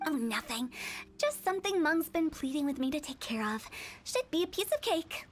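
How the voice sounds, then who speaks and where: A woman's voice: high voice, big pitch swings, normal speed, soft background music. A woman voicing a playful, eccentric young female funeral director in a video game, chirpy, theatrical and teasing. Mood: breezy.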